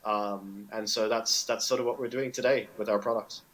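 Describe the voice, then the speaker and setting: A man's voice: lowish voice, fairly even intonation, normal speed, a bit bright, poor audio. Talkative man peppering answers with "right?". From Indonesia. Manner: peppers speech with "right?" and "you know". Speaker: fintech product executive, guest. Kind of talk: conversational interview answer.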